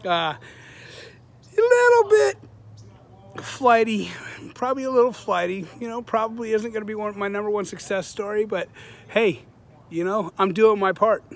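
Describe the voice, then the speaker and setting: A fairly high-voiced man in his forties rambles to his followers in a loose, earnest, profane way. He is standing outdoors on a sunny suburban street. The sound comes from a phone held at arm's length. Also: lively intonation, moderate pace, pauses often. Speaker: vlogger, channel host. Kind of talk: casual selfie vlog to viewers.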